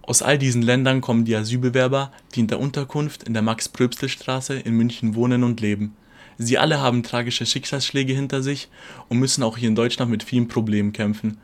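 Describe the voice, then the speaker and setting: A man's voice: medium pitch, fairly even intonation, a little fast. A man reading scripted narration as the reporter of a news feature.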